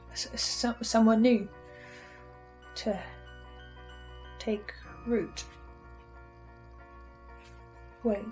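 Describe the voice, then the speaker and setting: A high-pitched voice for a woman acting a brooding, defiant female researcher. She speaks in a dramatic, halting monologue, tripping over words and pausing with intensity. Faint music plays behind her. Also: darkish tone, rough recording. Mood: hesitant musing. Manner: halting, stammering, intense, with pauses. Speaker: host reviewing a fiction podcast in character.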